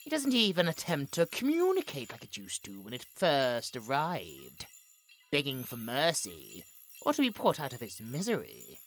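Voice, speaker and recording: Low-voiced, theatrical cold woman scientist, acted out by a woman. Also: huge pitch swings, normal speed, soft background music, exaggerated voice, rough recording. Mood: clinical, detached. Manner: theatrical, clinical log narration with dramatic emphasis. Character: cold clinical female scientist, gloating and imperious. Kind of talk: scripted horror audio drama.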